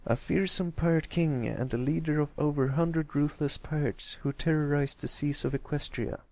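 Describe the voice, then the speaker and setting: A man's voice: on the high side, steady tone, moderate pace, a little mellow, close to an everyday voice. A man voicing a pony who tells a grand pirate yarn in a dramatic storybook style.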